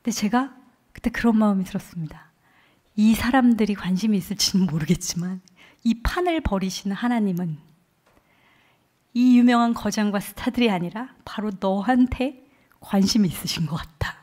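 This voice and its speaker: A woman in her forties speaks from a church platform, sharing an earnest testimony. Her voice sits at an ordinary middle pitch. She tells her story with quiet conviction through a microphone. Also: normal speed. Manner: earnest storytelling, quoting others with lively emotion. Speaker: guest speaker at a church revival. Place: church sanctuary.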